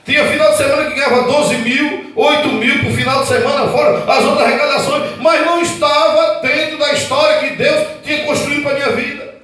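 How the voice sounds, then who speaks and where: Fervent high-voiced preacher in an echoey church. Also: male voice, steady tone, fairly quick. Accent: Brazilian Portuguese. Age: 40s. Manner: preaching fervently, swinging between loud outbursts and low earnest tones. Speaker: pastor. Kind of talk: sermon. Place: church hall. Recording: echoey hall, mic at a distance.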